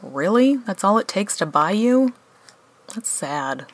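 A woman's voice: medium pitch, sing-song, slow pace, no echo. A woman playing a skeptical, sarcastic reluctant helper in a comedic radio play. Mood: sardonic. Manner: questioning, sarcastic.

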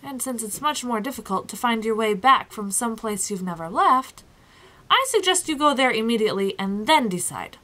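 A woman's voice: fairly high pitch, expressive, moderate pace, pushed and bright. A woman voicing a proud, cheerful, fussy ordinary-sized man in a storybook, with an emphatic, self-important manner. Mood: pondering.

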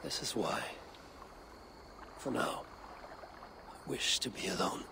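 A grave Viking war leader in middle age for a video game. The voice is heavily gravelled and slow, with weary, deliberate solemnity. Clear noisy ambience sits behind it. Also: male voice, mid-range voice, lively intonation, exaggerated voice.

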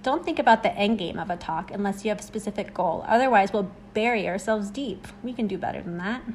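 A woman's voice: mid-range voice, quick pace, darkish tone, lightly acted. A woman playing a playful, teasing young extrovert. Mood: reassuring. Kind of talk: acted short-story dialogue. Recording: clear background noise and ambience.

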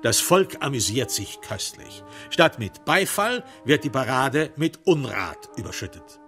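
A man's voice: medium pitch, big pitch swings, a little slow, pushed and bright, faint music behind. A man narrating a literary crime radio play in a sardonic, theatrical storytelling manner.

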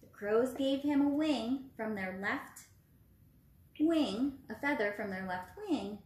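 Young woman, encouraging teacher, expressive sing-song range, talking to small children, echoey room. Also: a little high, slow pace. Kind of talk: read-aloud and questioning for young children. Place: small room at home, indoors. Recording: roomy home recording.

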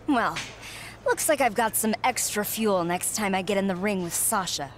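A woman's voice: medium pitch, moderate pace, faint music behind, close to an everyday voice. An actress playing a cocky young female wrestler in a video game cutscene, speaking in a dry, teasing, confident way.

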